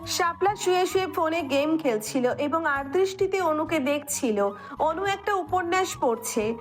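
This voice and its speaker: A woman's voice: very high voice, expressive, a little slow. A woman narrator reading a scripted romantic story. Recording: music bed under the voice.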